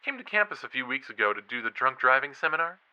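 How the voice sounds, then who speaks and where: A man's voice: mid-range voice, very animated, quick pace, pushed and bright, muffled recording. A man playing an eager college student and true crime podcaster, telling stories in a dramatic, playful way in an audio drama. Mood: animated, conversational. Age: young adult. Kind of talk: audio drama acting, storytelling.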